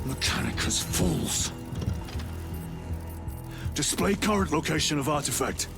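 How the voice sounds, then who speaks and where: A man's voice: fairly high pitch, lively intonation, unhurried, creaky. A man playing a commanding veteran space marine lieutenant in a video game, terse and authoritative. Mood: stern, terse. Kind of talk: video game military acting. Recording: loud music over voice.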